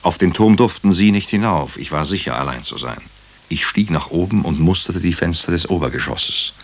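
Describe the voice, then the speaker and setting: An actor playing a wry, brooding hospital doctor who tells a murder mystery in a German radio drama, in measured, introspective, clipped phrases. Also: male voice, sits low, expressive. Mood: dry narration. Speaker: narrator and lead character. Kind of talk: German radio drama, first-person crime narration.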